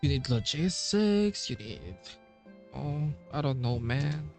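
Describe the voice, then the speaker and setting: A casual streamer reacts to a game in a mid-pitched voice that moves up and down a lot. The remarks are slangy and offhand, over faint music. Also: very animated, slow.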